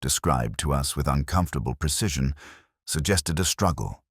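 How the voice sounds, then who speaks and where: Very deep, brooding detective narrator played by a man. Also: lively intonation, breathy edge, no echo, studio quality, exaggerated voice. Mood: sombre, watchful. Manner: measured, reflective, literary, sombre. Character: world-weary, brooding middle-aged male English detective narrator. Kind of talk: scripted first-person mystery narration.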